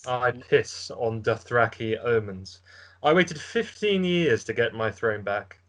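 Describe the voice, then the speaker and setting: A man's voice: medium pitch, expressive, slow pace, fairly bright tone. A man playing a bitter, impatient exiled would-be king in an amateur script table read. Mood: bitter impatience.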